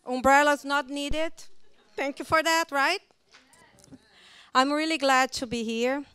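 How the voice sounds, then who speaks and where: A woman's voice: sits high, steady tone, unhurried, bright, forceful tone. A middle-aged woman with a Brazilian Portuguese accent, giving a chatty seminar talk to a group in a hotel meeting room on a handheld mic, with many fillers. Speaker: children's ministry trainer. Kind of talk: seminar presentation.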